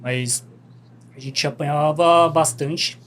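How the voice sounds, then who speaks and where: Relaxed young São man, slangy. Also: fairly high pitch, takes it slowly. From Brazil. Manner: laid-back storytelling, slangy, animated. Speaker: podcast guest. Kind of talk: casual podcast chat.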